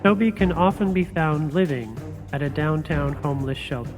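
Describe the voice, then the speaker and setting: A man giving measured, scripted narration to open a radio drama. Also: fairly high pitch, music in the background.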